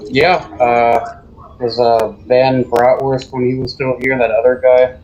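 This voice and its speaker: A man's voice: medium pitch, little pitch movement, unhurried, a little mellow, lo-fi sound. A man from Germany, a native German speaker, explaining things in a matter-of-fact way during casual game voice chat.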